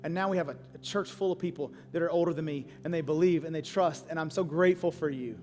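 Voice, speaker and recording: A man's voice: a little high, fast talker, a little mellow. A man in his forties preaching a sermon as a pastor, speaking emphatically and candidly and repeating phrases for effect. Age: 40s. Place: large church auditorium. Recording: long hall reverb, music underneath. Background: music.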